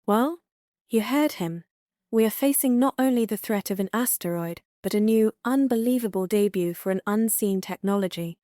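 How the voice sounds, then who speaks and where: A woman's voice: medium pitch, normal speed, dark tone, no room sound, clean studio sound, natural voice. A woman playing a composed, reassuring TV news anchor in a disaster drama, talking conversationally as if live on air. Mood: grave, awed. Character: composed female TV news anchor during a catastrophe.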